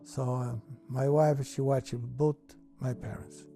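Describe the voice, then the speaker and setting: An older man from Italy with an Italian accent and broken English, slowly reminiscing in an interview with many restarts. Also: medium pitch, level tone, vocal fry, warm tone, soft background music.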